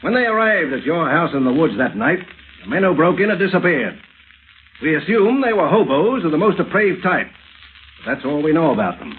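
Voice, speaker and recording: Casting: protective older friend, fairly high, very animated voice, old radio drama. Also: male voice, moderate pace. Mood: concerned, reluctant. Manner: earnest, paternal, emphatic. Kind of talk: 1930s-40s radio acting. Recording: tinny old-time radio recording with hiss.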